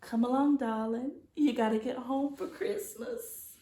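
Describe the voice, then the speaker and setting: A woman puts on a grand, fond aunt from a storybook. She is theatrical and assured, with a high voice and a roomy echo. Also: sing-song, a little slow, mellow, full character voice. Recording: roomy, echoey sound.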